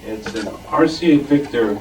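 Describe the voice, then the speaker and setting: A man's voice: mid-range voice, moderate pace. A man giving an informal show-and-tell talk as a club member presenting items from a collection. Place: club meeting room with members behind. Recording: distant mic, noisy room.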